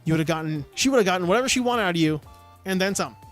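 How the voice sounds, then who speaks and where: High-voiced man telling a story. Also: racing, soft background music. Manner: storytelling, animated, frequent fillers. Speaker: podcast co-host. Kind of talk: casual podcast chat.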